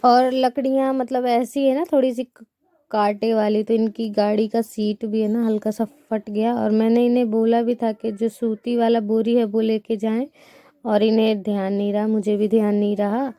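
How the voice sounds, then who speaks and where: A woman's voice: fairly high pitch, level tone, moderate pace, dark tone, dry recording. A young woman from India with a sore throat, chatting casually to her viewers as she sweeps and plasters her village house with mud. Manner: chatty, rambling, addresses viewers as friends. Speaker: village vlogger. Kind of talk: casual chat to viewers while doing chores.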